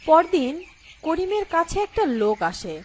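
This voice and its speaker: A woman narrating a scripted children's moral story in an expressive way. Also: extremely high pitch, normal speed, slight background noise.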